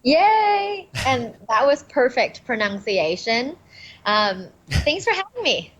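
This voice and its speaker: A woman's voice: sits high, very animated, slow, fairly bright tone, rough recording. A woman from Thailand, a professor guest, cheerfully explaining ideas in an interview. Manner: cheerful, laughs easily, explains clearly.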